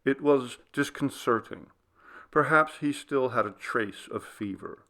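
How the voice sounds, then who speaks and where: Man, slow deliberate narrator, gravelly creaky voice, scripted literary reading, medium pitch. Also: pushed and bright. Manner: measured, deliberate storytelling. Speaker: audiobook reader.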